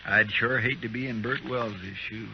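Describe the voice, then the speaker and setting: An actor playing an earnest, deferential, worried deputy in a 1950s radio western. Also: male voice, fairly low pitch, steady tone, normal speed, close to an everyday voice. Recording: muffled, noisy old radio recording.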